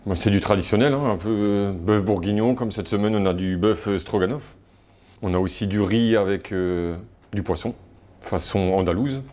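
Low-voiced restaurant manager man. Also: normal speed, darkish tone. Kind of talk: local TV interview.

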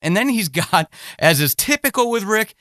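A man's voice: sits high, sing-song, moderate pace, pushed and bright, no echo, studio quality. A man hosting a conversational podcast interview in an enthusiastic, effusive manner.

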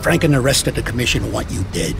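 An actor playing an older American mob boss in a crime game, calm, blunt and menacing, with a gravelly voice. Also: male voice, mid-range voice, over music. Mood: stern, threatening. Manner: blunt, deliberate, threatening. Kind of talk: cinematic crime game acting.

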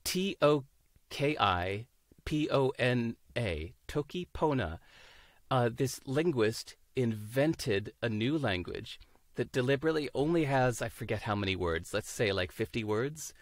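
A man's voice: medium pitch, expressive, unhurried, no echo, studio quality. A man in his fifties from the United States, a guest chatting on a video call, thoughtful, searching for words and laughing easily. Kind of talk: casual interview chat. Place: home room, indoors.

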